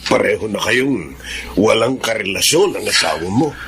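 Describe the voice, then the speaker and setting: An actor playing a caring, gently reproachful middle-aged older brother in a Filipino radio drama. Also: male voice, fairly low pitch, expressive, normal speed, creaky, a little hiss or hum. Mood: concerned, earnest.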